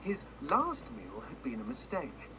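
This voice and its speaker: Swooping, macabre game storyteller, noisy audio. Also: male voice, on the high side, wildly swooping pitch, a little slow. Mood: somber, macabre. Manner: dramatic, tongue-in-cheek. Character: wry, macabre male storyteller reading a grim tale. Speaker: storybook narrator in a video game. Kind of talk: theatrical storybook narration.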